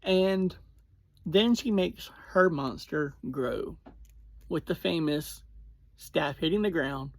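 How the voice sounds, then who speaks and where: Slow, halting reviewer, close dry sound. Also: mid-range voice, dry recording. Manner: halting, pausing between short phrases. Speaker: online reviewer. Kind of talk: casual episode recap and review.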